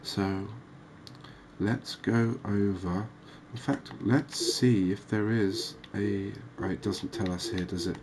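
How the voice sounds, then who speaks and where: Low, even-voiced man, relaxed game commentary. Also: slow, a little hiss or hum. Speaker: host of a cozy fishing game channel. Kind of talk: relaxed ASMR-style gameplay commentary.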